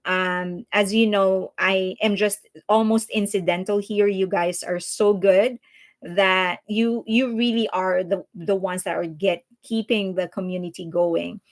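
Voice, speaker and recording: A woman's voice: mid-range voice, steady tone, a little slow. A woman from the Philippines with a Filipino accent, hosting a casual livestream and answering viewer comments in a chatty, earnest way. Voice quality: chatty, earnest, frequent restarts and fillers. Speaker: livestream host.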